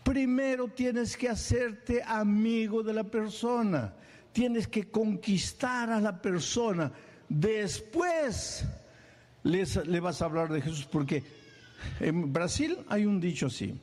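A man preaching a sermon in a lively, animated way. His voice sits fairly high but sounds mellow. He acts out small scenes and keeps firing questions at the congregation. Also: slow.

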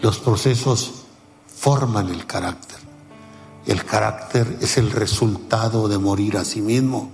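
Slow, deep-voiced elderly male preacher. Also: creaky, a little mellow, big-room sound, soft background music. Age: 70s. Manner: unhurried, emphatic, laughs at himself. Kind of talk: sermon.

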